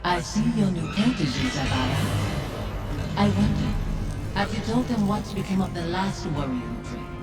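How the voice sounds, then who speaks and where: A woman's voice: on the low side, lively intonation, normal speed, lightly acted. Distant, haunting female spirit played by a woman. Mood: taunting. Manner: probing, reproachful, taunting. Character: accusing, haunting female nightmare spirit, intimate and taunting. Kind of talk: scripted video game voice acting. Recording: distant, with music behind.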